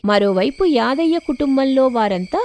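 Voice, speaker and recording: Lively high-voiced woman narrator. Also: normal speed, no room sound. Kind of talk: scripted storybook narration. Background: soft background music.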